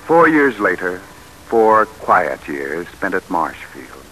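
An actor as the solemn narrator of a historical radio drama, formal and dramatic in an old-time style. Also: male voice, deep voice, very animated, slow, pushed and bright, full character voice, poor audio. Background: music.

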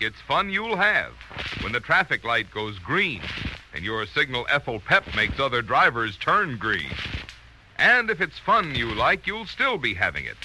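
An American man announcing a 1940s radio commercial with polished, upbeat salesmanship on a crackly old recording. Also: medium pitch. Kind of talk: 1940s radio advertising pitch.

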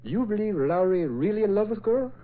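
A loyal valet from a 1940s radio serial, with a high, very lively voice. He talks in clipped broken English, deferential yet shrewd. The sound is tinny and narrow, like an old broadcast. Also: fairly quick.

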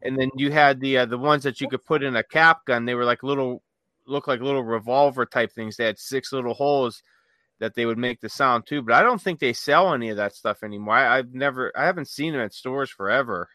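A man's voice: mid-range voice, brisk, sharp tone, no room sound. A middle-aged man talking casually and with enthusiasm. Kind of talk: casual chat.

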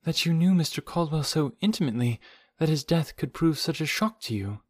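Audiobook role: concerned young female friend, done by a man, raised pitch, earnest, gently explanatory. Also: big pitch swings, moderate pace, no room sound, studio quality. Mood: puzzled, constrained. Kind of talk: audiobook character voice.

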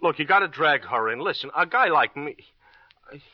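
Jittery wisecracking schnook, swooping voice. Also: male voice, a little high, expressive, moderate pace, dry recording. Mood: nervous explaining. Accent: American. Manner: wisecracking, pleading, protesting. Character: jittery, self-pitying male small-time schnook under police questioning. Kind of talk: old-time American radio crime drama acting. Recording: dull vintage radio recording.

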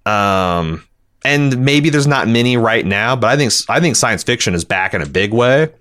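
Male podcast co-host, mid-range voice, opinionated and casually profane, loose halting phrasing. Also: mid-range voice, animated, fairly bright tone.

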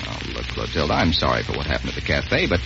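An actor playing a brash, lovesick young bandleader, impetuous and wisecracking, in a 1940s radio romance. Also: male voice, very deep voice, sing-song, fast talker, creaky, noisy background, exaggerated voice. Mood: ardent. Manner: impetuous, wisecracking, stammering repeats. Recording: muffled old radio recording, music behind.

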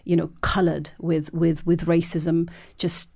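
A woman's voice: fairly low pitch, level tone, unhurried, gravelly, a little mellow. A woman from Norway, a documentary filmmaker, giving long, earnest interview answers full of repeats and restarts.

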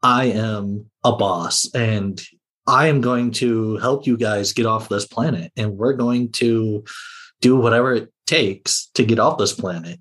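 A man guesting on a casual fan podcast, talking in a halting, joking way. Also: fairly low pitch, a bit bright, slightly rough.

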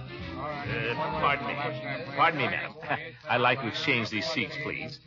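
An actor playing a pompous, easily flustered middle-aged small-town official in an old-time radio comedy, blustering and chuckling. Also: male voice, mid-range voice, unhurried, loud music behind the voice, natural voice. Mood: self-important. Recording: dull vintage radio broadcast recording.

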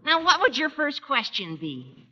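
An actor playing an eager, bouncy teenage schoolboy with a squeaky adolescent voice in a 1940s radio sitcom. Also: male voice, huge pitch swings, measured pace, heavy background noise, full character voice. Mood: puzzled. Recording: dull, reverberant vintage radio recording.

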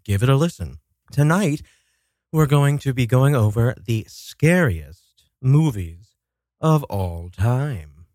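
A man's voice: medium pitch, lively intonation, slow pace, a little mellow. A man hosting a casual solo podcast, telling stories dramatically with chatty asides and some swearing. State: coming down with a cold. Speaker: podcast host. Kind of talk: casual solo talk show about horror films.

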